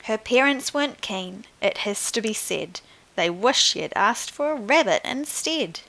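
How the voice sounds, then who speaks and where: A woman reading a picture book to young children like a primary teacher, in an expressive, deliberate way. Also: fairly high pitch, vocal fry, low-quality recording. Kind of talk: scripted storybook narration for young children.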